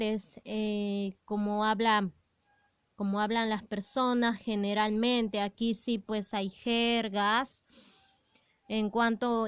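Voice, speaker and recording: A woman's voice: on the high side, clear, resonant tone, no room sound, clean studio sound. A woman teacher explaining a language lesson to secondary students, patient and explanatory, with frequent fillers and questions to the class.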